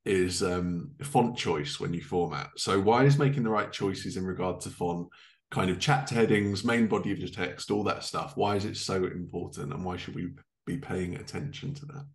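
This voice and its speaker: A man's voice: low-pitched, moderate pace. A man in his thirties interviewing in an informal, agreeable way over a video call. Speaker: podcast host, interviewer. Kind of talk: casual interview chat. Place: living room, indoors.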